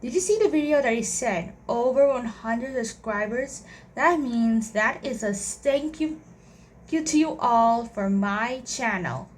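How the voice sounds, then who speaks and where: A woman casually commenting on her game playthrough in a halting way, with frequent restarts and repeats. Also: fairly high pitch, lively intonation, slight background noise. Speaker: gameplay channel host. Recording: commentary over loud game music.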